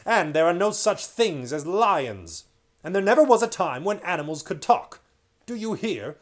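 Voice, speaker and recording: Man doing a booming, menacing king. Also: lively intonation, moderate pace, close to an everyday voice. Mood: furious. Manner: loud, booming, threatening. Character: stern, menacing middle-aged male king.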